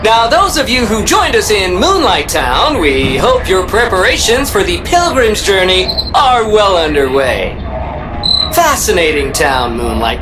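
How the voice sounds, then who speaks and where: A small mechanical companion from a video game, a hammy and talkative guide. The pitch swings widely, the pacing is leisurely, and music plays behind the voice. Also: male voice. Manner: chatty, hammy showman.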